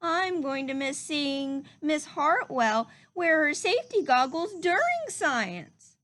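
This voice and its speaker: A woman's voice: high-pitched, big pitch swings, takes it slowly, fairly bright tone, voice pushed up, exaggerated voice. A woman voicing earnest, wistful young schoolchildren in a storybook, with a simple singsong delivery.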